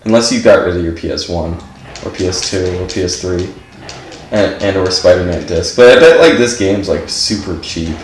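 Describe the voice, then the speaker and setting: A stuffed-up man with a fairly low voice rambles through casual commentary as he plays a game, leaning on "like" at every turn. His voice bounces around an echoey room. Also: normal speed, a little hiss or hum. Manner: rambling, fills speech with "like". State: stuffed up from allergies. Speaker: game streamer.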